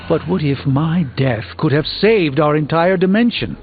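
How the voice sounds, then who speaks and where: A man's voice: a little high, animated, measured pace. A man playing a proud middle-aged sorcerer in a video game, speaking formally and solemnly. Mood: grave, resolute. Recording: tinny band-limited game audio with music.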